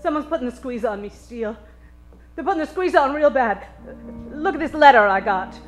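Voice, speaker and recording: A woman acts out a tough, brassy dame in trouble from a noir radio comedy. Her voice sits fairly high and her delivery is melodramatic and hard-boiled. Faint music plays behind her. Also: animated, fairly quick. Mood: urgent, tough. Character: brassy, desperate dame client, strong ex-Olympic discus thrower. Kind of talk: live comedic detective radio play acting.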